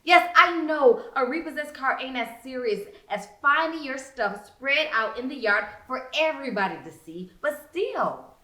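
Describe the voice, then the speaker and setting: A woman with an American accent, an author reading her novel as expressive first-person narration, with dramatic, emphatic phrasing. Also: sits high, measured pace. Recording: roomy indoor echo.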